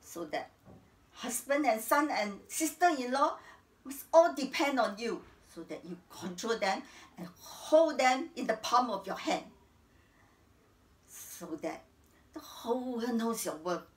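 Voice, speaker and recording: A woman's voice: fairly high pitch, big pitch swings, a little slow. A woman playing an embittered, domineering old Chinese widow with a Singaporean accent in a theatrical stage monologue. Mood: bitter, fierce. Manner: theatrical, swinging from hushed narration to indignant outbursts. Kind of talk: dramatic stage monologue, acting out remembered scenes. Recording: roomy, echoing, distant stage-like sound.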